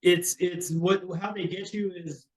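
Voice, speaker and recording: A man's voice: high voice, steady tone, a little slow, darkish tone, reverberant. A man from Hawaii, a real estate agent, talking casually as an interview guest, with many fillers and restarts.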